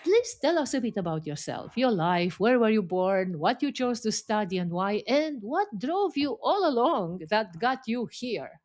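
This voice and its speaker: Woman host with a lively melodic voice. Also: a little high. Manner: measured, deliberate phrasing. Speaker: podcast host. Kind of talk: prepared interview questions.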